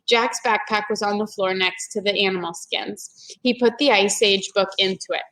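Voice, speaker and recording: A woman's voice: mid-range voice, fairly even intonation, normal speed, a bit bright, rough recording. A woman reading a children's story aloud in a teacherly way, explaining things to young listeners. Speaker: teacher-like read-aloud reader.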